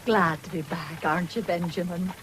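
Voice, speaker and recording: An actress playing a stern, practical middle-aged farm mother with an English accent, brisk and sharp-tongued, in a radio drama. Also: lowish voice, steady tone, lightly acted. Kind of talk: 1970s British radio drama acting. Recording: faintly noisy vintage radio broadcast.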